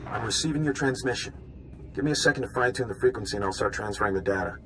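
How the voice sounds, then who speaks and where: An actor playing an anxious, conscientious undercover informant in a video game, hesitant and deferential. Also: male voice, medium pitch, very fast, noisy background, lightly acted. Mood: subdued, dutiful.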